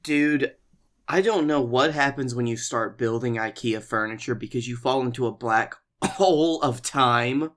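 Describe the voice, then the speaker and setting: A man co-hosting a comedy podcast, telling casual stories in an animated, playfully exaggerated way. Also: mid-range voice, measured pace. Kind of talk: casual comic storytelling.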